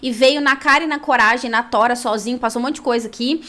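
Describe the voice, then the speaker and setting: High-voiced Brazilian woman telling her story. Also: fast, a bit bright. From São Paulo, Brazil. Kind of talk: personal storytelling with Bible reading.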